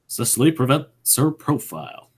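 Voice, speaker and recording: A man's voice: medium pitch, moderate pace, darkish tone, breathy edge. A man narrating a radio play, reading short stage directions as scripted narration.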